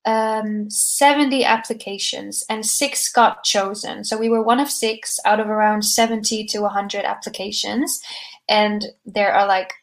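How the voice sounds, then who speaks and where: A soft-spoken young woman, a startup co-founder, talking casually into a podcast microphone. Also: medium pitch. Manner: soft-spoken, conversational, with fillers. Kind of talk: podcast interview answers.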